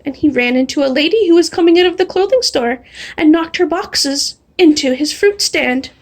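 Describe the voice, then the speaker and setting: A woman reading a children's storybook aloud in an animated, expressive way. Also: high-pitched. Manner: animated, drawing out refrains slowly. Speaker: storybook reader. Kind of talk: expressive read-aloud of a children's picture book.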